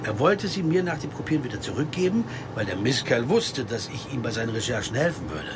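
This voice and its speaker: A man's voice: mid-range voice, big pitch swings, brisk, noisy background, lightly acted. An actor playing an earnest American man who investigates a disappearance and narrates his search in a radio crime drama. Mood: intent, brooding. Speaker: first-person narrator and lead character. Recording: music behind the voice.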